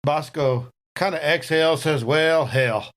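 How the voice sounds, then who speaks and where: A man's voice: on the high side, a little slow, fairly bright tone. A man playing a tabletop role-playing game, chatting casually and improvising in character.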